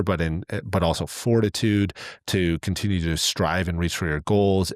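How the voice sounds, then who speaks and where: Deep-voiced middle-aged man chatting on a podcast. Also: dry recording, clean studio sound. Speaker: podcast co-host. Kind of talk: casual conversational podcast talk.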